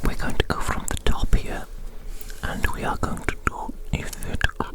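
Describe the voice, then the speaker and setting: A person performing an ASMR roleplay entirely in whispers, meticulous and unhurried, softly repeating phrases. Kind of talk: ASMR roleplay, whispered measuring and note-taking.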